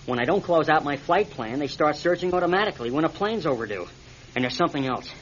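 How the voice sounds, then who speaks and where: A man's voice: a little high, lively intonation, fast, a bit bright. An American man acting in a radio drama as an injured, practical, defensive pilot stranded after a crash. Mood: weary, reassuring. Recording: dull, noisy old radio recording.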